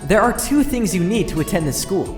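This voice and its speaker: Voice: mid-range voice, animated, a little fast, lightly acted. A performer voicing an earnest teenage schoolboy, narrating his own story dramatically as an inner monologue in an amateur game dub. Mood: earnest, wistful. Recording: roomy echo, music underneath.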